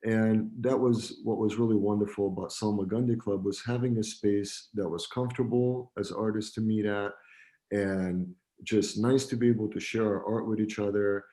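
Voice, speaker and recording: Brief: man, guest on a video call, easygoing and joking, voice on the low side. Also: normal speed. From Romania. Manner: relaxed, friendly, joking. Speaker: guest, gallery founder. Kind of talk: casual video-call interview.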